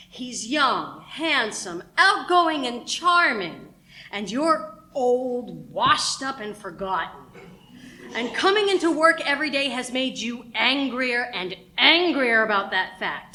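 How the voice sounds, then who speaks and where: A woman playing a sharp-tongued, accusing radio station employee in a mystery radio play, pointed and cutting. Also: high-pitched, heavily acted.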